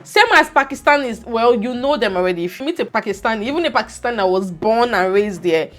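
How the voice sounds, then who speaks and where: A young woman from Nigeria with a Nigerian accent, talking to her viewers in a casual, animated and scolding vlog monologue. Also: on the high side, fast. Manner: animated, scolding, pidgin words mixed in. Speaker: vlogger.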